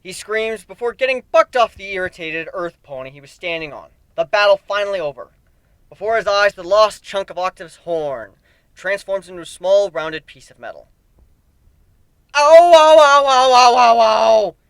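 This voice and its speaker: Expressive man narrating, bright voice. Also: high-pitched, normal speed, clear, resonant tone, no echo. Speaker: amateur story narrator. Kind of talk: dramatic reading of fan fiction, expressive narration.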